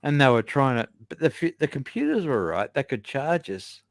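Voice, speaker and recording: A man's voice: medium pitch, big pitch swings, moderate pace, creaky, no echo, studio quality. A man chatting casually as a panel guest, easygoing and agreeable, saying "you know" often. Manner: laid-back, agreeable, says "you know" a lot. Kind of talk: casual chat.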